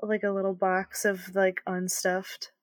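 A woman's voice: medium pitch, level tone, no room sound. A chatty woman co-host with a mid-range voice tells light stories and laughs easily. She sounds close and dry on a podcast mic. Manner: chatty and giggly, quick reactions. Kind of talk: casual podcast chat.

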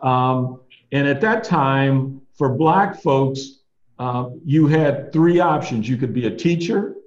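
A man in his sixties, an attorney guest, recounting his childhood in a measured, thoughtful way. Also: medium pitch. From USA, Ohio and Alabama. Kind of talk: reflective storytelling interview. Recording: dull, roomy recording.

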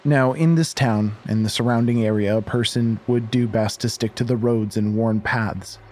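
A man's voice: medium pitch, fairly even intonation, darkish tone. Folksy male horror storyteller. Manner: folksy, deliberate storyteller addressing listeners. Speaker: narrator of a horror audio drama.

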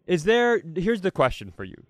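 A man co-hosting a podcast, chatting casually in an eager, animated way and steering the talk. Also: high-pitched, moderate pace, no echo. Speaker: podcast co-host.

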